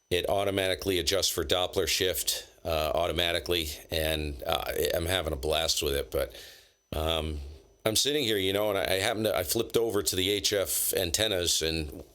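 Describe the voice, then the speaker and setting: A man's voice: deep voice, sharp tone. A man chatting casually over ham radio, enthusiastic and full of call signs and gear talk. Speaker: ham radio operator, channel host. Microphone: station microphone.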